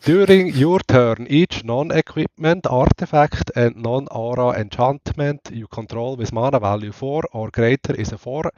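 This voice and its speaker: A man's voice: mid-range voice, a little slow, few pauses, darkish tone, no room sound, muffled recording. A man with a Swiss accent, bantering and laughing as he reads out card text in a casual game stream. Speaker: player, co-host.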